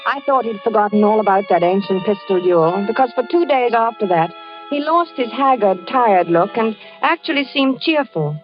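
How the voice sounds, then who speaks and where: Actress as a worried, high-voiced radio-drama wife. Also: female voice, expressive, moderate pace, natural voice. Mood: concerned narration. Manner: pleading, solicitous. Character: worried, coaxing wife of a troubled man. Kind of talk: old-time radio drama acting and narration. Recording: muffled vintage radio recording with music.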